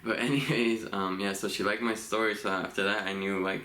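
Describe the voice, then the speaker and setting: A man in his late twenties from Los Angeles, co-hosting a couple's Q&A vlog in a relaxed, rambling way with frequent laughs. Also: lowish voice, fairly quick. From Los Angeles, USA. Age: 20s. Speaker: vlogger co-host. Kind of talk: casual couple Q&A chat. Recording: echoey room with faint hiss.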